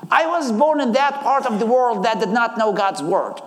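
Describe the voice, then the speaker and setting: An Iraqi man in his thirties delivers a personal testimony like a sermon. His voice sits extremely high for a man and carries an Iraqi accent. The recording is echoey, with the long reverb of a big hall. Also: expressive, normal speed. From Iraq. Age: 30s. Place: large hall, live talk.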